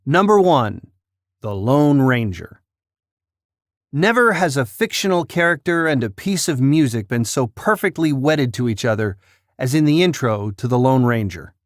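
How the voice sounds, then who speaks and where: A man's voice: medium pitch, expressive, moderate pace, dry recording, studio quality. A man hosting a countdown with scripted narration. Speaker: countdown host.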